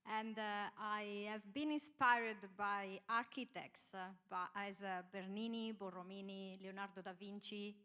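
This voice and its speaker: A woman in her thirties from Italy with an Italian accent, introducing herself as an architect on an expo panel, often pausing with "uh" and using non-native phrasing. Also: mid-range voice, steady tone, sharp tone. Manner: hesitant, many "uh" fillers, non-native grammar. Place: event hall at a property expo.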